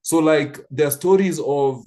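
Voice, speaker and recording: A man's voice: a little high, measured pace, mellow. A man in his fifties from Kenya, telling stories thoughtfully with frequent restarts on a church panel. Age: 50s.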